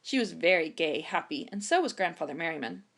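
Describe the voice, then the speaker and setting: A woman reading a children's chapter book aloud in an expressive storytime narration style. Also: fairly low pitch, fairly quick, gravelly. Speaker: storytime reader.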